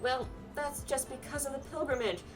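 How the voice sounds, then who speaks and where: A woman's voice: high voice, fairly even intonation, moderate pace, far from the mic, music in the background, voice pushed up, natural voice. A woman voicing a playful, impatient young female pilgrim from a fantasy game, with a whiny, mischievous manner. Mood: pleading, teasing.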